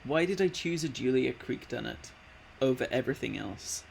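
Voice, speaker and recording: A man's voice: medium pitch, expressive, normal speed, creaky, a little hiss or hum. A man in his late twenties chatting casually on a live stream, rambling with lots of fillers and easily delighted. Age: late 20s. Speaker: art streamer.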